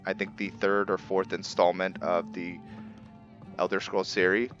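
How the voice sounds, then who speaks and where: A man giving casual commentary on his roleplay playthrough, haltingly thinking aloud as he goes. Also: deep voice, little pitch movement, a bit bright, lo-fi sound, faint music behind. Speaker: gamer narrating a roleplay playthrough.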